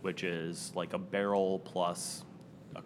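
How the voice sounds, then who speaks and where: Low-voiced, agreeable distiller man. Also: little pitch movement, slow, background noise. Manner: agreeable, chimes in often, trails off. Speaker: distillery owner, trade group member. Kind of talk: casual chat.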